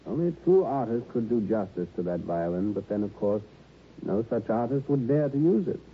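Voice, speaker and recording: An actor playing a fussy, genteel violin dealer in a radio drama, precise, refined and a little bewildered. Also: male voice, fairly low pitch, expressive, brisk, slight background noise, lightly acted. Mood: regretful. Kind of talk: 1950s-60s radio drama acting. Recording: tinny vintage radio broadcast.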